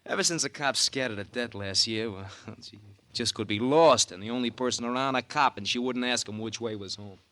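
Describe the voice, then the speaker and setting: An actor playing a glib, wry young husband in a 1950 radio crime drama, breezy and sardonic. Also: male voice, mid-range voice, fairly quick, bright, forceful tone, lightly acted. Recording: old radio recording with faint noise.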